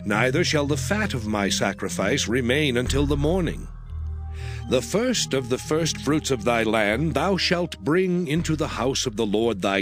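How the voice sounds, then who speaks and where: A man's voice: mid-range voice, lightly acted. A man voicing God as a solemn, commanding lawgiver in a dramatized scripture reading, with declamatory, archaic diction. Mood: stern, instructing. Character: God, solemn commanding male deity laying down law. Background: background music.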